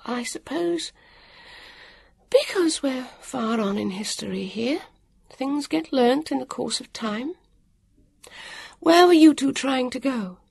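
A woman's voice: high voice, lively intonation, slow, gravelly, a little mellow, breathy edge, dry recording, pitched above the performer's own voice, full character voice. A woman voicing a harassed, irritable grown woman who guides travellers, in a brusque, impatient manner. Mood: distracted. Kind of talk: audiobook character voice.

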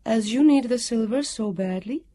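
Woman as a lilting, high-voiced serene guardian. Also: normal speed, no echo, studio quality, close to an everyday voice. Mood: declaring, resolute. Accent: foreign lilt. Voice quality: soft, lilting. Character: soft, serene silvery female guardian of a casket. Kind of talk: audiobook character voice.

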